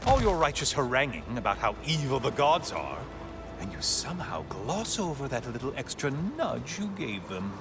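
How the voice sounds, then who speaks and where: A man's voice: medium pitch, big pitch swings, normal speed. An actor playing a spiteful, sneering male sun god in a video game, taunting and theatrical. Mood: mocking. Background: game music.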